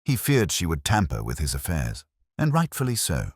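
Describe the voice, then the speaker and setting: A man reads as a detective's loyal-sounding, earnest companion in a Victorian storybook. The voice is very deep and husky. He speaks thoughtfully and a little tentatively. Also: wildly swooping pitch, moderate pace, dry recording, studio quality, heavily acted.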